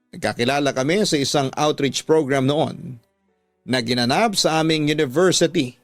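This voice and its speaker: A man's voice: medium pitch, no room sound. A man with a Filipino accent reading a confessional love story as scripted dramatic radio narration. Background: background music.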